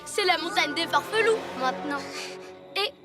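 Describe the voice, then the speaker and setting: Very high-pitched gloating cartoon ninja villain. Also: female voice, expressive, moderate pace. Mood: falsely sweet. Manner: theatrical, gloating, sarcastic. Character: boastful scheming young ninja villain, mischievous and bossy. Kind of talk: animated children's cartoon acting. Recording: loud music behind the voice.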